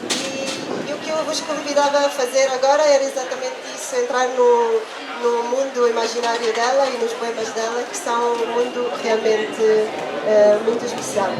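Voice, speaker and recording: A woman's voice: a little high, level tone, normal speed. A young adult woman, speaking Portuguese as a second language, talks hesitantly and thoughtfully about poetry at a book launch, with many restarts and fillers. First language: not Portuguese. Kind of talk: unscripted talk at a book launch. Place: book fair in a public space. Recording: echoey, mic far off, loud crowd behind.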